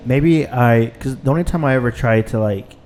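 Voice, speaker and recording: A man's voice: medium pitch, moderate pace, a little mellow, soft background music. A thirty-year-old man hosting a podcast, chatting in a laid-back way with many fillers and trailing thoughts. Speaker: podcast host. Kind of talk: casual chat.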